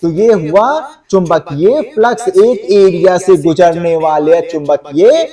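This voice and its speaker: ऊँची आवाज़ वाला पुरुष शिक्षक, माइक से दूर. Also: male voice, high-pitched, takes it slowly, mellow, distant mic. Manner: conversational, repeats check phrases to students. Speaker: exam-coaching geography teacher. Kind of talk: explanatory lecture to students.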